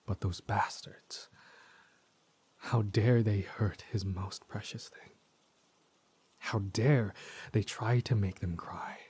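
A man acting a slow, menacing possessing spirit. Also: on the low side, steady tone, a little mellow, slightly rough, full character voice. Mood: seething rage. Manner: theatrical, slow and deliberate, dropping into whispers. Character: possessing villain spirit in a childhood friend's body, menacing, tormented. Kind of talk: scripted dramatic audio roleplay monologue.

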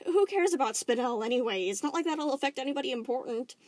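A woman's voice: sits high, expressive, fast, a little mellow, no room sound, pitched above the performer's own voice. A woman voicing a frantic young gem girl who stammers and giggles nervously while forcing cheer. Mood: pleading.

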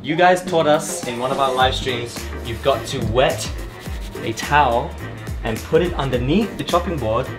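A man's voice: medium pitch, normal speed. A young man showing how to cook in a small home kitchen, explaining each step in a playful, joking way, filmed up close on a handheld camera. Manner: playful, jokey, explains steps to viewers. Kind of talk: casual cooking demonstration. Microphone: camera held by partner, close. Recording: music playing over the voice. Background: background music.

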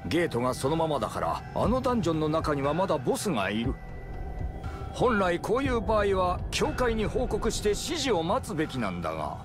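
An actor playing a middle-aged leader of a hunter party, measured and authoritative, in a game cutscene style. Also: male voice, a little high, wildly swooping pitch. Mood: serious, deliberate. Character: commanding, deliberate male leader of a hunter party. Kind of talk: Japanese game cutscene voice acting. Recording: music behind the voice.

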